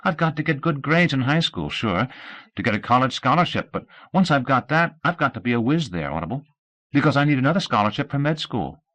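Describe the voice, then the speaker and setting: A man's voice: medium pitch, very animated, brisk, sharp tone, pitched above the performer's own voice, rough recording. A man reading aloud, voicing an earnest teenage boy athlete who rambles and later cries.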